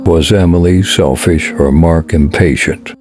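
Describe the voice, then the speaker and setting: A man narrating a short audio story in a measured, scripted reading style. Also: low-pitched, music in the background.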